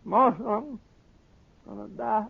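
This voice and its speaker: An actor playing a frightened young gunman, pleading slowly and haltingly in a 1950s radio western. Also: high-pitched, creaky, full character voice. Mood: dying, pleading. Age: young adult. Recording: tinny vintage radio recording.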